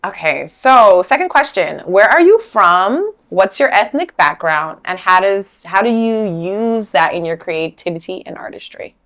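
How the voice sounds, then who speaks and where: A woman's voice: medium pitch, animated, measured pace, few pauses, a bit bright. A woman hosting a casual interview, asking the questions and reacting with giggles. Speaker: host.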